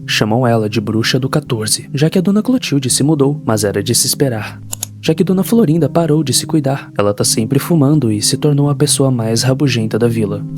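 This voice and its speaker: Male narrator, Brazilian accent, mid-range voice, scripted horror storytelling over prominent music. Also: medium pitch.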